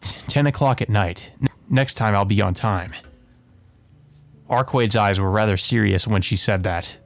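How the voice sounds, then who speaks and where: A man's voice: on the low side, moderate pace, vocal fry. A man hosting a let's play, reading visual novel narration aloud and adding casual commentary. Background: music behind the voice.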